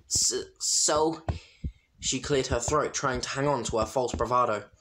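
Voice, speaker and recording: Someone reading fanfic aloud, mid pitched voice, faint hiss and clicks on the home mic. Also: steady tone, brisk, fairly bright tone. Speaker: fan fiction reader. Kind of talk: scripted story narration. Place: at home, late at night. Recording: noisy home recording with clicks.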